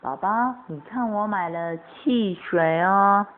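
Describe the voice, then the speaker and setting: A woman acts out a doting monster mother in a children's radio play. She speaks slowly, with simple exclamatory delivery. The recording is tinny and slightly noisy. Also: mid-range voice, lightly acted. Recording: tinny, band-limited amateur recording with noise.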